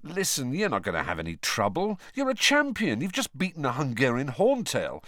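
A man's voice: medium pitch, huge pitch swings, moderate pace, fairly bright tone, no echo, studio quality, voice pushed up. A man voicing a matey, encouraging teenage schoolboy in an audiobook, talking casually as to a friend. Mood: reassuring. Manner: casual, egging on.

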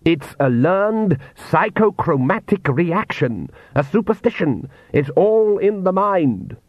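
A man's voice: high-pitched, big pitch swings, slow pace, no room sound, muffled recording, pitched above the performer's own voice, heavily acted. A man as a pompous, imperious vampire count. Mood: defensive, shouting. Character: arrogant vampire count, a domineering middle-aged father.

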